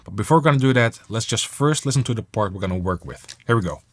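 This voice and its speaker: A man's voice: on the low side, expressive, fast. Man casually presenting a music production tutorial.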